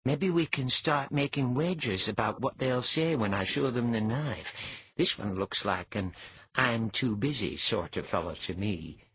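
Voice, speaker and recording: A man voicing a polite, earnest and excitable young fighter in an audiobook story. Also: mid-range voice, a little slow, husky, dry recording, muffled recording, pitched above the performer's own voice. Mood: wry.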